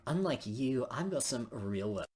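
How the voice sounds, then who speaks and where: A man's voice: mid-range voice, animated, unhurried, a little mellow, husky. A man voicing a smug, mocking teenage school rival in a visual novel read-aloud. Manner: mocking, cocky.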